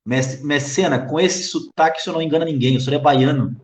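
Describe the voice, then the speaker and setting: A man's voice: a little high, quick pace. A man hosting a casual live interview, teasing his guests in a friendly way. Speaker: interview host. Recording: echoey room.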